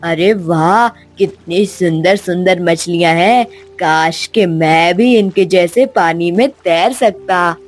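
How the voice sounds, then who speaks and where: A kind schoolboy from a children's cartoon, voiced by a woman. He talks in an earnest, friendly way at an unhurried pace, with music underneath. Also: lowish voice. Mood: admiring. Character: kind, helpful schoolboy hero. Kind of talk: cartoon storybook voice acting.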